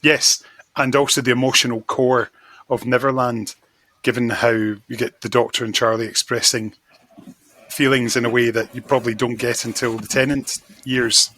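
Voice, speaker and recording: A man's voice: medium pitch, steady tone, normal speed, pushed and bright, dry recording, rough recording. A man chatting effusively as an enthusiastic podcast guest in a casual fan discussion. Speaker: podcast guest, magazine editor.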